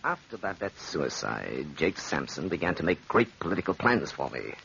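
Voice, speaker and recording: A man acting a smooth, calculating, ambitious gambler in an old-time radio drama, with a suave, theatrical delivery. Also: extremely low pitch, very animated, normal speed, a bit bright, heavily acted. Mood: cold, narrating. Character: smooth, scheming gambler and ambitious male schemer. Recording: dull, noisy old radio recording.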